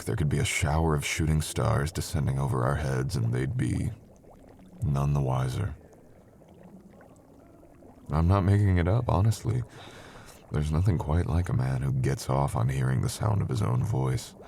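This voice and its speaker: Man acting a smug, gravelly low-voiced flirt. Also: warm tone, a little hiss or hum.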